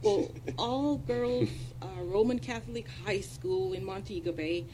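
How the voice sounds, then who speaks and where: A woman's voice: mid-range voice, measured pace, a loud crowd, rough recording. A woman from Jamaica with a Jamaican accent touched by British tones, a composed, articulate radio guest. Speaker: guest, consultant and adjunct professor. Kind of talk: radio interview talk. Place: radio studio.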